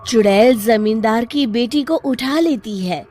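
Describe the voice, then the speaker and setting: A woman's voice: fairly high pitch, unhurried, noisy background. A woman narrating a scripted story as its storyteller.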